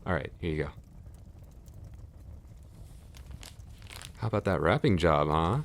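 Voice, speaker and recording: A young man playing a gentle, nervous best friend in an ASMR boyfriend roleplay, speaking softly and hesitantly in a tender, reassuring way. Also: expressive, fast, a little hiss or hum, exaggerated voice. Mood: playful, relaxed. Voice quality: very deep, creaky.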